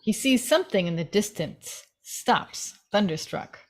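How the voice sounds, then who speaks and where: A woman's voice: mid-range voice, sing-song, a little slow. Woman doing dramatic narration, reading stage directions off a script at a table read.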